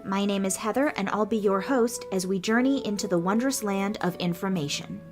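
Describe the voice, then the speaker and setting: A woman's voice: mid-range voice, fairly quick, loud music behind the voice. A woman hosting a casual podcast and explaining things in a chatty, friendly way, her voice a bit snuffly from a cold. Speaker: podcast host. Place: a small home recording room.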